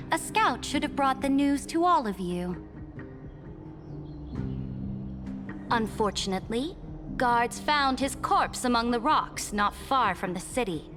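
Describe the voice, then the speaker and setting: High-voiced imperious female ruler. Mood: cold, stern. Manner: formal, haughty, measured oratory. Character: imperious, cold, commanding female ruler addressing her council. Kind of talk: dramatic game voice acting.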